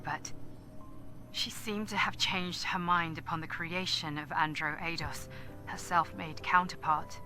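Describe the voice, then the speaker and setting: A woman's voice: lowish voice, pushed and bright. An actress playing a weary, disillusioned female soldier solemnly narrating a log in a video game. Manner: solemn, questioning. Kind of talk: dramatic scripted log narration.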